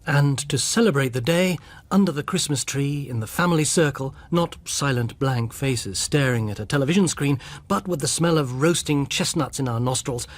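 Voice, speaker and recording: A man's voice: mid-range voice, moderate pace. A man presenting scripted narration in a conversational storytelling way, speaking directly to the listener.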